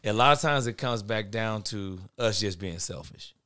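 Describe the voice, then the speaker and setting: A middle-aged man with a big voice that sits on the low side. He talks earnestly and candidly, swelling in volume when he presses a point. The sound is close and dry. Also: normal speed, smooth, no echo. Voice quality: big, naturally forceful voice. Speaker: guest, father of boys. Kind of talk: casual podcast conversation.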